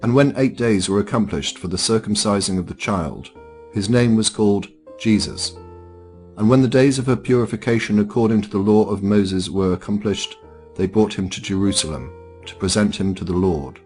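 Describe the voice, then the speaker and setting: A man's voice: fairly low pitch, normal speed, a little mellow, soft background music. A man reading scripture aloud as formal narration. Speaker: Bible reader.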